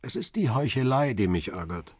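World-weary paparazzo, middle-aged, very husky, close dry sound, understated crime radio drama. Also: male voice, medium pitch, lively intonation, normal speed, darkish tone, dry recording. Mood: matter-of-fact. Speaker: narrator and lead actor in a crime radio play. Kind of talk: radio drama, first-person narration and dialogue.

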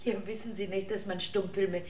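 An actress in a German radio drama playing a gentle, cultured old grandmother. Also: mid-range voice, fairly even intonation, normal speed, natural voice. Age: older. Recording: echoey, distant, noisy background.

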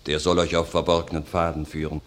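An actor playing a humble, hospitable charcoal burner who warns travellers of nearby enemies, in a classic verse radio drama. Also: male voice, a bass voice, flat delivery, quick pace. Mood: warning. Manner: plain-spoken, cautious.

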